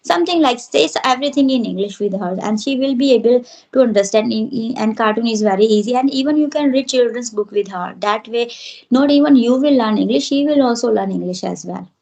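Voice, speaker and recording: A woman's voice: a little high, a little fast, vocal fry, a little mellow. A woman English teacher giving firm, reassuring advice in an interview.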